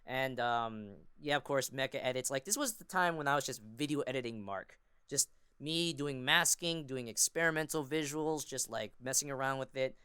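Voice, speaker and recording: A man's voice: mid-range voice, moderate pace, few pauses, sharp tone, clean tone, no room sound, clean studio sound. A young man chatting casually as a podcast guest, rambling with a fan's enthusiasm. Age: young adult. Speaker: podcast guest, amateur voice actor and fandubber. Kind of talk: casual chat.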